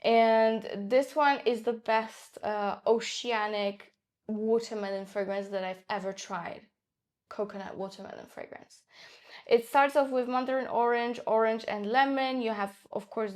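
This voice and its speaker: Slow-talking Polish woman reviewing perfumes. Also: fairly high pitch. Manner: chatty, enthusiastic, many fillers. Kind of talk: casual fragrance review.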